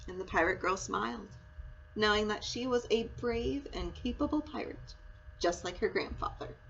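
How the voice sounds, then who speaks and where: A woman narrates a children's story with deliberate drama and expression. Her voice sits at a medium pitch. The recording is a little dull, with faint background noise. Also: creaky. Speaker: story reader, school read-aloud.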